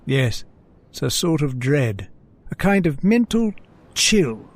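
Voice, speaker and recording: A man's voice: a little high, sing-song, takes it slowly, heavily acted. A man playing a genial, philosophical hunting companion with a superstitious unease, in old-time radio drama style. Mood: uneasy.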